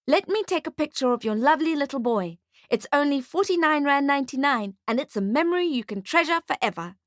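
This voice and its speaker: A woman's voice: sits high, lively intonation, a little fast, no echo, clean studio sound, voice pushed up. A woman voicing a pushy male photographer with an emphatic salesman's manner in an audiobook story. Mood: wheedling.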